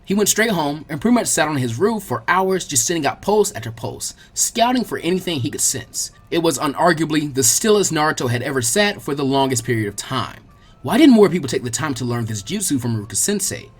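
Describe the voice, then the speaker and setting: A man reading a story aloud as an expressive, colloquial audiobook narrator, with a husky voice. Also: fairly high pitch. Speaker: fan fiction audiobook narrator.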